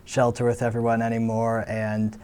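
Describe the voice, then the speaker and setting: A teenage male student actor answering interview questions in a measured, explanatory way. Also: medium pitch, monotone, a little mellow, clear, resonant tone.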